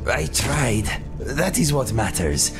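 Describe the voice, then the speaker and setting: An actor playing a guilt-ridden cat-man mercenary in a fantasy game, speaking gravely and formally. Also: mid-range voice, sing-song, takes it slowly, over music, exaggerated voice. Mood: remorseful, weary. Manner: formal, grave, avoids contractions. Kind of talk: fantasy game character dialogue.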